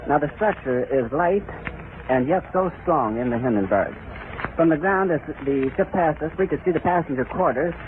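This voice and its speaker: A man's voice: a little high, lively intonation, moderate pace, phone-quality sound, noisy background. A man giving formal, descriptive live radio news commentary in 1930s style, on an old archive recording. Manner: formal, descriptive on-the-spot reporting.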